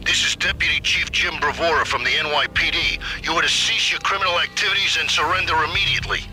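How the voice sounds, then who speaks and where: An actor playing a stern police deputy chief giving orders. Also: male voice, on the high side, fairly even intonation, quick pace, pushed and bright, soft background music, low-quality recording. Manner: commanding, official.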